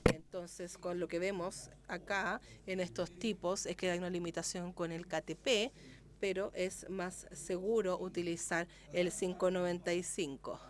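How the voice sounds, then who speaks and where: A woman giving live interpretation of a medical lecture, speaking steadily and often correcting herself mid-word. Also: fairly low pitch.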